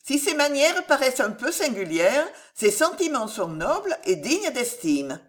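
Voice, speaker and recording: Storybook heroine: young, kind-hearted and firm, polite diction, animated intonation woman narrator acting it out. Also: sits high, unhurried, pitched above the performer's own voice. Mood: calm conviction. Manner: earnest, polite, eloquent. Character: sincere, generous young heiress, earnest and firm. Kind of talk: audiobook character voice.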